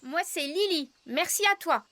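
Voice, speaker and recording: Tiny brave cartoon animal girl, super high bright voice, eager, bit of music under it. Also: animated, unhurried, dry recording, exaggerated voice. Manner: bold, eager, forthright. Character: plucky, small young female cartoon animal. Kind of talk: cartoon dub acting. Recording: music behind the voice.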